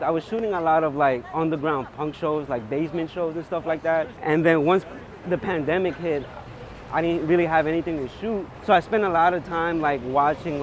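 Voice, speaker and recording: A young man with a New York accent chatting casually and enthusiastically, using slang and plenty of filler "like". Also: fairly high pitch. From New York City, USA. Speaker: street photographer, guest. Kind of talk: casual chat. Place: outdoors on a busy city street. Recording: clear outdoor street and traffic noise.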